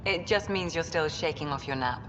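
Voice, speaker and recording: Casting: female actor as composed game doctor, reassuring and measured, slightly low, muffled with noisy ambience. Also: big-room sound, close to an everyday voice. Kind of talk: sci-fi video game acting.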